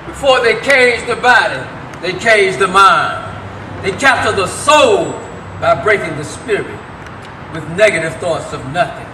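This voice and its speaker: A man with a strikingly high voice recites spoken-word poetry. His delivery is theatrical and rhythmic. The sound is echoey and distant, with clear noise behind it. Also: lively intonation, unhurried, sharp tone. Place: live staged reading in a reverberant room.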